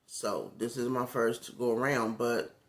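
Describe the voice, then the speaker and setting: A man with a raspy, gravelly voice talks casually into his phone as a content creator. He rambles in a relaxed way, full of fillers, at an everyday middle pitch. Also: unhurried. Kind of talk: casual talk to camera.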